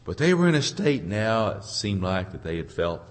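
Male preacher, low voice, hoarse from a cold, halting delivery, folksy informal sermon. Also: very animated. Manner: halting, searching for words, throat-clearing. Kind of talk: informal sermon with congregation responses.

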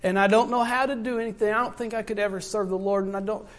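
A man's voice: high voice, fairly even intonation, fast talker, pitched below the performer's own voice, natural voice. A man voicing a timid churchgoer who doubts himself, speaking meekly and hesitantly. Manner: meek, hesitant.

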